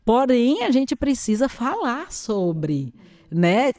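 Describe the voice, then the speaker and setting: A woman's voice: on the high side, sing-song, slow, a little mellow. A woman, an occupational therapist answering interview questions in an earnest, emphatic, explanatory way.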